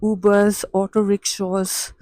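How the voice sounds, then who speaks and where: Slow-spoken woman with Indian accent. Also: mid-range voice, level tone, darkish tone, no room sound. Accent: Indian English. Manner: measured, unhurried explaining. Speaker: vlogger and coach. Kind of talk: walk-and-talk vlog. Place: walking outdoors on city streets.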